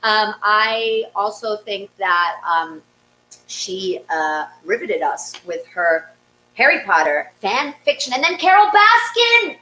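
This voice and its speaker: A woman's voice: mid-range voice, very animated, slow pace, pushed and bright, rough recording. A woman hosting a variety show in a casual, effusive, exclamatory way. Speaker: host of a livestreamed variety show.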